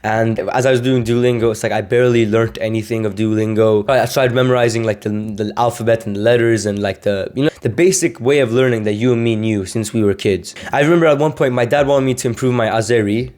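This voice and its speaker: A man's voice: medium pitch, fairly even intonation, fast, hardly any pauses, a little mellow. A young man from Sweden, a language-learning vlogger, talking casually to camera, rambling with many fillers and restarts.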